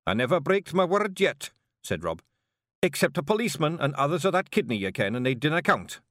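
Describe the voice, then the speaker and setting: A man voicing a tiny, fierce male pixie clan chief with a gruff, blustering Scottish accent. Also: on the high side, very animated, fairly quick, fairly bright tone, no echo, clean studio sound, pitched above the performer's own voice. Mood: sheepish. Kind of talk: audiobook character voice.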